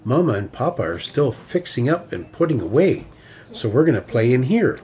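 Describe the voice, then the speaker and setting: A man's voice: medium pitch, sing-song, moderate pace, mellow, slight background noise. A man voicing a bossy, bragging young female bear cub with a scolding manner.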